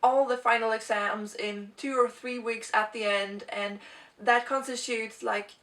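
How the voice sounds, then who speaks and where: A woman's voice: on the high side, steady tone, unhurried, fairly bright tone, recorded from a distance. A young woman from Sweden, a native Swedish speaker, chatting earnestly to camera and sharing advice in a casual vlog. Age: young adult. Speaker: vlogger.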